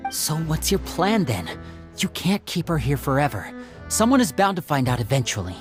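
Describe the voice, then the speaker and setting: A man voicing a sharp, protective teenage older brother in a video game, controlled and questioning, over music. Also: a little high, very animated, normal speed. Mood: grave. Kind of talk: English video game voice acting. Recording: faint music behind the voice.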